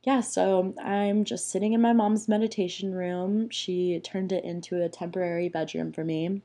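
Young woman, raspy, hoarse, lower voice, warm mellow tone, casual podcast chat. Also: steady tone, normal speed. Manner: chatty, playful, rambling with fillers. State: hoarse from being sick. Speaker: podcast host. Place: small room at home.

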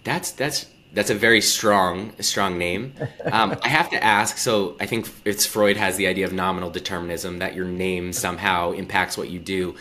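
A man's voice: low voice, steady tone, normal speed, pushed and bright, slight background noise. A man hosting a conversational interview, speaking in a thoughtful, discursive way. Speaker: interview host.

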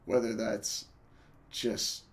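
A man's voice: fairly low pitch, steady tone, extremely slow. A young man hosting a casual podcast, loose and profane, laughing often, his voice worn from a cough. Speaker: podcast host.

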